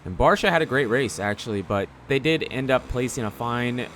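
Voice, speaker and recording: A man's voice: mid-range voice, expressive, brisk, fairly bright tone, slight background noise. A man giving casual gameplay commentary in a rambling, conversational, slangy way. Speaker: gaming video commentator.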